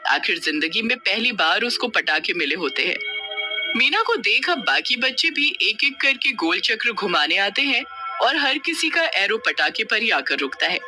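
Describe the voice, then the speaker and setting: A storyteller narrating a scripted children's tale in an expressive, dramatic manner. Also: medium pitch, normal speed, music in the background.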